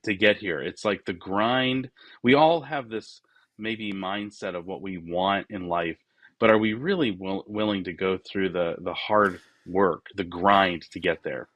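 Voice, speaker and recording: A man of forty-nine talking casually and reflectively as an interview guest, often voicing his remembered thoughts in a halting way. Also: sits low, fairly bright tone, dry recording, highs cut off. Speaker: podcast guest, business founder.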